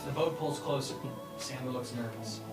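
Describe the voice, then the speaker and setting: A man's voice: mid-range voice, normal speed. Roomy, distant man reading stage directions. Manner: stumbles over lines, breaks into laughter. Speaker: narrator reading stage directions at a table read. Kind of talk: live script read-through, narrating. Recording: roomy, distant mic, music behind.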